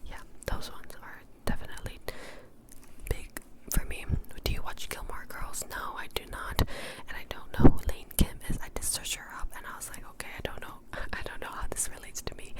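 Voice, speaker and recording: Whispering young woman, casual ASMR. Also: rough recording. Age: young adult. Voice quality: breathy, mostly unvoiced whisper. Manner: casual, rambling, repeats words softly. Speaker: ASMR creator answering viewer questions. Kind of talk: whispered ASMR question-and-answer.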